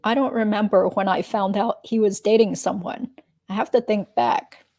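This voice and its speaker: A woman acting as a female witness under questioning, with a soft, mellow tone and a mid-range voice. She answers carefully and commits to little, as in a dramatic reading of a deposition. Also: medium pitch, steady tone, moderate pace, close to an everyday voice. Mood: guarded. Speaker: reader playing a deposition witness.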